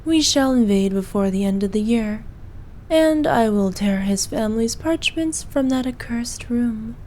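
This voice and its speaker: A woman playing a regal, possessive evil queen who dotes on her knight in an intimate ASMR roleplay, speaking formally and softly, at times in breathy whispers. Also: on the high side, animated, slight background noise. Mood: scornful, scheming. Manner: regal, slow, archaic formal speech.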